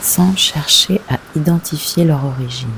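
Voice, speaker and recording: A woman leading a guided yoga nidra meditation, giving gentle, unhurried instructions with long pauses. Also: low voice, slight background noise, rough recording.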